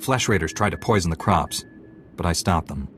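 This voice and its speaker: An actor playing a calm, confident young knight hero in a video game, reassuring and matter-of-fact. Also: male voice, low voice, moderate pace, a little hiss or hum, close to an everyday voice. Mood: calm, resolute. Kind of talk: video game drama acting.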